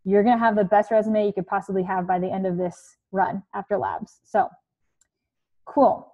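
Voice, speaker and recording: A woman's voice: medium pitch, little pitch movement, normal speed, phone-quality sound. A young woman coaching students on a video call from her living room, chatty with many fillers. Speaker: career coach. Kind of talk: casual online presentation. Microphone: earbud headset on video call.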